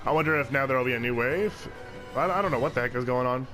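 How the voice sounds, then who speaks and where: A man's voice: medium pitch, fast, pushed and bright, soft background music, low-quality recording. A man hosting a let's play channel, talking through gameplay in a loose, rambling way with lots of fillers and restarts, his voice congested from a cold. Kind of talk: casual gameplay commentary.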